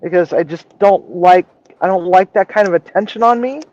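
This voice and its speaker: A woman with a low voice, chatting frankly and casually as a regular on a livestream. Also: moderate pace, darkish tone, dull audio. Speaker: chef and regular co-host.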